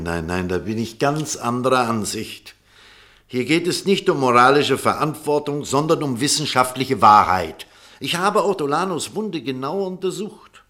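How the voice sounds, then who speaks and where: A man's voice: mid-range voice, a bit bright. A man acting an indignant, stubborn doctor in a German radio play, arguing emphatically. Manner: emphatic, argumentative. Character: indignant, stubborn male doctor defending scientific truth.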